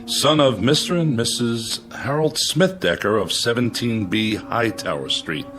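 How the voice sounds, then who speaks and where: An actor playing an earnest, uncertain army major in a radio drama, speaking in a measured, deferential, thoughtful way. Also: male voice, medium pitch, animated, fairly bright tone. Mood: businesslike, intrigued. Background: music.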